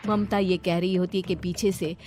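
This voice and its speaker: A female storyteller delivers scripted horror narration in a dramatic style. Music is clearly heard behind her voice. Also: fairly low pitch, little pitch movement, normal speed.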